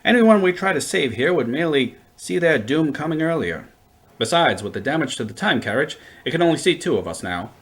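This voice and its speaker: Dry, wry time-travelling adventurer. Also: male voice, a little high, big pitch swings, a little fast. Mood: resigned, dry. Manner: dry, flippant, wordy. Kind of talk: audio drama acting.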